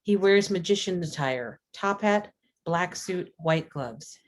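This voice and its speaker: A woman with a fairly low voice narrates the action of a screenplay. She delivers scene headings and descriptions as scripted narration for a table read. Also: animated, takes it slowly. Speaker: stage directions reader at a script table read.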